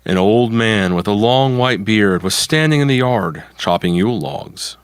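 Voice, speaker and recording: A man's voice: lowish voice, expressive, measured pace, clear, resonant tone, dry recording. A man narrating a folktale from a script as the storyteller.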